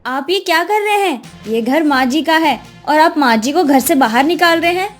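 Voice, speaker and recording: A woman's voice: high-pitched, lively intonation, moderate pace, faint music behind. A woman voicing a young, dutiful and resolute daughter-in-law, earnest and pleading, in a moral story cartoon. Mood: shocked.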